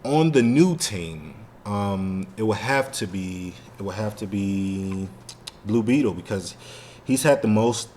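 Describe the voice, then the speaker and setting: A man's voice: fairly low pitch, animated, takes it slowly, darkish tone, a little hiss or hum. A man co-hosting a casual fan podcast, talking in a rambling, slangy way with lots of "like". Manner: rambling, heavy use of "like" and slang. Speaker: podcast co-host.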